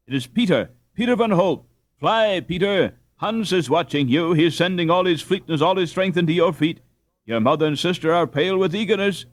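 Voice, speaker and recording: A man's voice: a little high, dry recording. Man narrating a storybook expressively. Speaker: audiobook reader.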